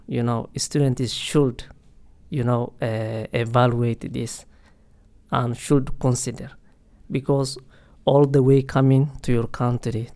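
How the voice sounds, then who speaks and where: A man's voice: medium pitch, dark tone. A man being interviewed as a university staff guest, speaking hesitantly with lots of "you know" and "uh" fillers. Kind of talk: casual podcast interview.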